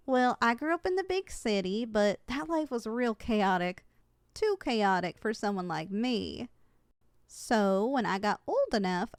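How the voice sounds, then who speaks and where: A young woman playing a kind, caring giantess in an ASMR roleplay, speaking in a gentle, affectionate, conversational way. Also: fairly high pitch, no echo, clean studio sound. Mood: candid, wistful. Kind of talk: ASMR roleplay, intimate character acting.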